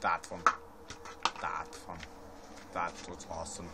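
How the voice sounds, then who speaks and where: A young man who talks low and deep, teasing his friend and rambling along. There is clear background noise from the room around them. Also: monotone, slow pace. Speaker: friend watching gameplay. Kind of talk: casual banter. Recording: home video with noticeable background noise.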